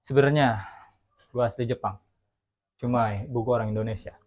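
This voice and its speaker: A man playing a brooding young street fighter in a fan film, with a calm, somber delivery. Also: mid-range voice, brisk, close to an everyday voice.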